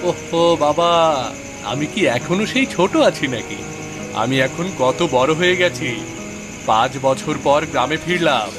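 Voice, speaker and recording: A man's voice: on the high side, expressive, unhurried, over music, rough recording. A man voicing a stubborn, eager young man in a Bengali cartoon story. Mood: breezy, stubborn.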